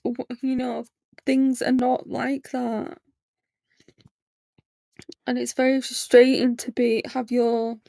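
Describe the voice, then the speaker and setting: A woman's voice: fairly high pitch, creaky, mellow, no echo. A woman in her late twenties hosting a podcast, talking candidly in a rambling personal monologue with lots of fillers. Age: 20s. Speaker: podcast host.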